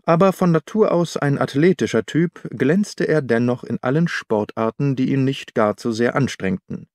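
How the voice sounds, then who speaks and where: A man's voice: mid-range voice, normal speed, a little mellow, dry recording. Male audiobook narrator, dry close sound. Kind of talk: scripted literary narration, first-person storytelling.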